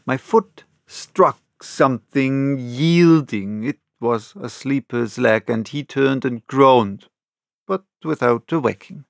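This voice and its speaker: A man narrates a classic audiobook at a slow, deliberate pace. His mid-pitched voice has some creak in it, and he tells the story in a measured, dramatic way. The sound is close and dry. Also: no echo. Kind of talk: scripted literary narration in the first person.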